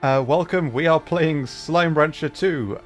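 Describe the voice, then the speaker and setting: A man's voice: fairly high pitch, measured pace, soft background music. A man hosting a casual gaming livestream, chatting with a wry, self-deprecating manner. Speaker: livestreamer host.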